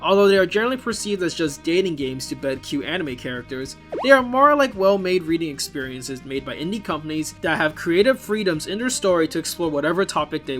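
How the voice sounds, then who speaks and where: Animated, higher-pitched man narrating a video essay. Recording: music behind the voice.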